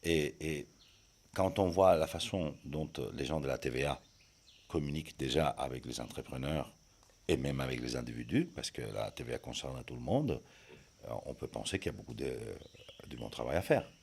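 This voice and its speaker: Very deep-voiced hesitant man, non-native. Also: moderate pace, gravelly, a little mellow, slight background noise. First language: not French. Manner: hesitant, many fillers and restarts. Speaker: guest, communication expert. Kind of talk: conversational interview answers.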